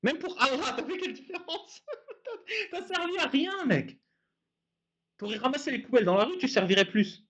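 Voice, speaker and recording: A man's voice: very high voice, lively intonation, normal speed, long pauses, crowd noise. A man hosting a casual talk, sarcastic and laughing often. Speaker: host of a debate channel. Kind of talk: casual mocking chat. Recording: dull lo-fi sound.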